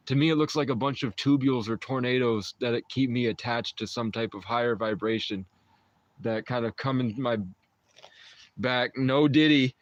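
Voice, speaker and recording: A man's voice: mid-range voice, moderate pace, no room sound, lo-fi sound. Rambling young man on a livestream. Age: 20s. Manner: meandering, mystical talk with self-interrupting restarts and chuckles. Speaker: livestream host. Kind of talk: rambling livestream chat with viewers.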